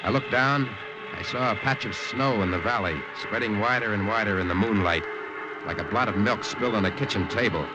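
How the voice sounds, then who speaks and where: An actor playing a wry, guarded American soldier on a secret mission in a 1950s radio drama. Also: male voice, fairly low pitch, fairly quick, natural voice. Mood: reflective. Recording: noisy vintage radio broadcast.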